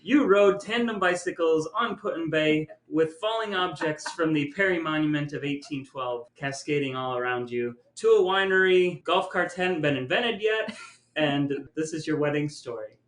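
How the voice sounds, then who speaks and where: A man's voice: a little high, animated, normal speed, fairly bright tone. A man in his forties with an American Midwestern accent, hosting a casual family chat in a wry, playfully mock-grand way. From Ohio, United States. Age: 40s. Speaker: podcast host. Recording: roomy, echoing sound.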